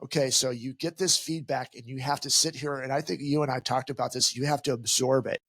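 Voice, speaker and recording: A man's voice: medium pitch, fairly even intonation, fairly quick, fairly bright tone, breathy edge, dry recording. Emphatic middle-aged man, dry sound. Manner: emphatic, acts out quoted inner voices. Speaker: podcast co-host. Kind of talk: casual advice chat.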